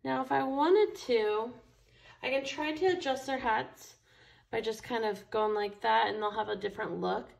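An enthusiastic woman walks through a craft project at her table, her voice a touch higher than most and roughened with a gravelly fry. She chats casually and warmly about each step as she works. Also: normal speed. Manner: chatty and enthusiastic, talks while working with her hands. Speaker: craft store instructor. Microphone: overhead camera, only her hands seen.

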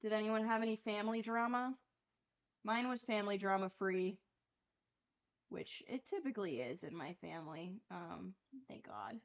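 Young woman streamer, a bit hoarse. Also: mid-range voice, normal speed, dull audio. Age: young adult. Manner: casual, swears freely, chatty and rambling. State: getting hoarse. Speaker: livestream host. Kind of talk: casual chat while painting cards. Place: small bedroom. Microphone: lapel mic.

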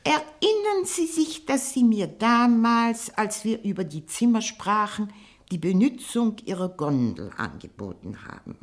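An actress playing a very old, proud, reclusive aunt in a German radio play, imperious and biting. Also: female voice, mid-range voice, big pitch swings, a little slow. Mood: bossy. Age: older.

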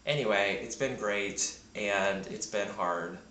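Deep-voiced man vlogging in an echoey room. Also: takes it slowly, sharp tone, a little hiss or hum. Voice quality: deep morning voice, sounds a bit sick. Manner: rambling, chatty, self-deprecating, with many fillers. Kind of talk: casual vlog monologue. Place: home in Berlin. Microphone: handheld camera mic.

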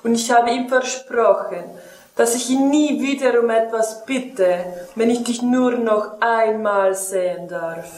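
A woman's voice: fairly high pitch, slow, a little mellow. A young woman playing a devoted, guilt-ridden young male pilot in an amateur film parody, with a solemn, pleading delivery. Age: young adult. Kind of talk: melodramatic parody acting. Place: tiled public restroom, indoors. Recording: echoey tiled room.

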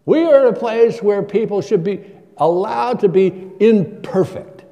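Older guy preaching in a church, slow and mellow, long echo. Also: high voice, lively intonation, highs cut off. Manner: conversational preaching with rhetorical questions. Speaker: guest preacher. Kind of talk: sermon. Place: church sanctuary at a pulpit.

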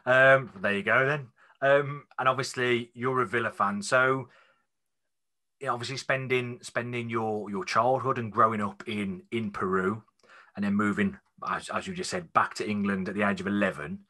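A man hosting a fan podcast over a video call, relaxed, repeating words and using fillers. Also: lowish voice, moderate pace. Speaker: podcast host. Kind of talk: casual podcast interview. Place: small room at home. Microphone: studio mic on video call.

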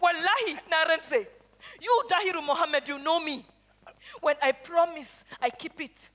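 A woman's voice: very high-pitched, measured pace, a bit bright. A woman with a Nigerian accent acting on stage as the bitter, scolding wife of an old guard, declaiming her lines. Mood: bitter, accusing. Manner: declamatory, cursing. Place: theatre stage.

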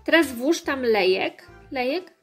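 A woman in her thirties with a fairly high voice. She explains simply to children at an unhurried pace, pausing with some hesitation, as in a homemade video. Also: animated, soft background music. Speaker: host of children's videos, mother. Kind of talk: casual instructional chat with children.

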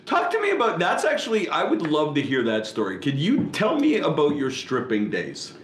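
Lively-voiced man hosting in a roomy den. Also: fairly high pitch, moderate pace, a little hiss or hum. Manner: lively intonation, laughs along, brief reactions. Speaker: podcast host. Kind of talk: casual interview chat. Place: living room with leather couch.

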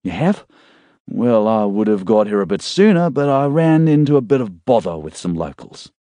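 A man voicing a rugged, warm-hearted male survivor in an audiobook. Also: lowish voice, sing-song, normal speed, dry recording, pitched above the performer's own voice. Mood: warm, wry. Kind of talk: audiobook character dialogue.

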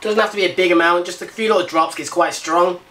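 A man's voice: high voice, steady tone, fast talker, sharp tone. A British man in his twenties chatting casually to camera as a fitness vlogger, explaining things as he goes. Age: 20s. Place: small home kitchen, indoors. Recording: roomy kitchen echo, clear background noise.

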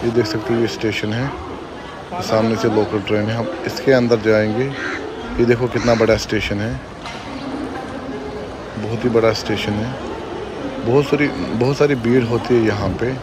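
A man's voice: on the low side, fairly even intonation, moderate pace, pauses often. Chatty vlogger man in a noisy train station. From India. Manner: chatty, repetitive pointing-out, addresses friends directly. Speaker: travel vlogger. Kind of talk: casual walking vlog narration. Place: busy city train station and moving local train. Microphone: handheld phone camera. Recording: loud station and train noise. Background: loud station and train noise.

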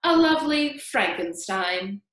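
A woman's voice: fairly high pitch, big pitch swings, unhurried, fairly bright tone, echoey room. A woman voicing a vain, spiteful older aunt in a storybook, snapping and screeching with theatrical scorn. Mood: mocking.

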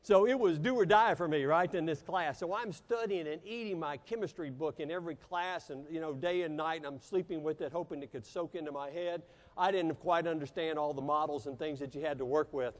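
An American man delivers a motivational keynote in a big, reverberant hall. His voice sits a little high for a man, and he tells stories theatrically, leaning on dramatic pauses. He sounds like he is in his fifties and speaks into a lapel mic on stage. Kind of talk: keynote speech with personal storytelling. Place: large convention hall stage. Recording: echoey hall with long reverb.

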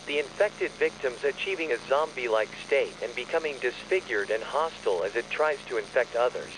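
A man reading a scripted emergency announcement in a formal, measured, official manner. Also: medium pitch, animated, background noise. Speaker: narrator of a fictional emergency alert.

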